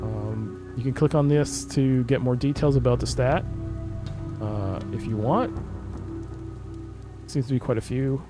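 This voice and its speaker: A man's voice: mid-range voice, a little slow, long pauses. Man commentating over game music. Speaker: gaming video creator. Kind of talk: casual game playthrough commentary, reading on-screen text aloud.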